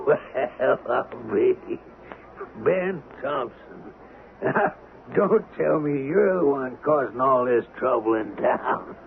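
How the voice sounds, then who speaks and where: An actor playing a brash, ruthless Old West gambler in a radio drama, gravelly, mocking and blustering with a folksy drawl. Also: male voice, mid-range voice, lively intonation, very slow, long pauses, exaggerated voice. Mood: mocking, amused. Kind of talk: 1970s radio drama acting. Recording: narrow-band vintage radio recording with faint hiss.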